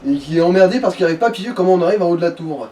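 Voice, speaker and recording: A man's voice: high voice, expressive, rapid-fire, warm tone, recorded from a distance, a little hiss or hum. A man giving casual, explanatory advice on a video game. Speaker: co-commentator advising the player. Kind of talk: casual gaming commentary.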